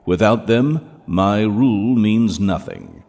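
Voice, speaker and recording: An actor playing a stern, menacing ruler of Hell in an audio drama, speaking in a measured, commanding and pompous way. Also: male voice, lowish voice, vocal fry, a little mellow, full character voice. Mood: coldly commanding. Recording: long hall reverb.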